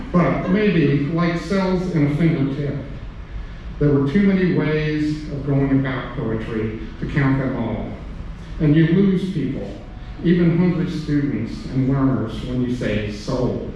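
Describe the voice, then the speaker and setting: A man's voice: mid-range voice, darkish tone, dull audio. A man speaking and reading aloud at a live poetry reading, recorded in a reverberant room. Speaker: poetry reader. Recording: echoey room, distant mic, faint noise.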